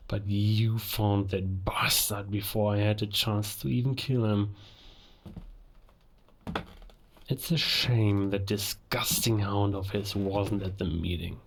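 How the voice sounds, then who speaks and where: A cold werewolf villain, acted by a man in a cinematic audio drama speaks very slowly in a low voice. He sets each phrase apart with deliberate pauses, keeping the threat quiet and controlled. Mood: contemptuous. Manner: slow, deliberate, pausing, quietly menacing. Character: cold, menacing male werewolf villain, a murderous spy. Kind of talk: cinematic audio roleplay monologue.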